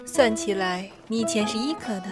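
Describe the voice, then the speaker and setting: A woman acting a brisk, tidy female surgeon in an audio drama. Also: a little high, natural voice. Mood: polite, teasing. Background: music with guitar.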